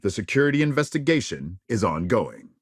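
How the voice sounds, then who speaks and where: An actor playing a polished, dramatic news anchor in a video game, with measured, grave and emphatic broadcast phrasing. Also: male voice, on the low side, big pitch swings, slightly rough, no echo, studio quality. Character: polished, dramatic male TV news anchor of a space-age network. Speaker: news reporter. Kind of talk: scripted broadcast news delivery.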